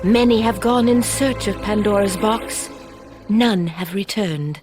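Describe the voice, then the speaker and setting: A mysterious oracle speaks slowly and ominously, voiced by a woman. She sounds knowing, as if seeing into a soul. Orchestral music plays clearly beneath her in a game cutscene. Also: medium pitch, natural voice. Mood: warning. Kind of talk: video game cutscene acting. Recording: loud music behind.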